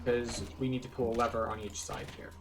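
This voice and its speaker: A man's voice: mid-range voice, a little fast, over music. A man giving casual, explanatory commentary as he guides a video game playthrough. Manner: relaxed, explanatory. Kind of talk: casual let's-play commentary.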